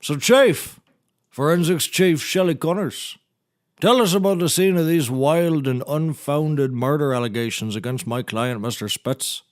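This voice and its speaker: A man's voice: fairly high pitch, a little slow, close to an everyday voice. A man playing a bumbling, blustering seaman turned lawyer in a scripted comedy audio drama, rambling in a folksy, theatrical way. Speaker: defense lawyer in courtroom comedy.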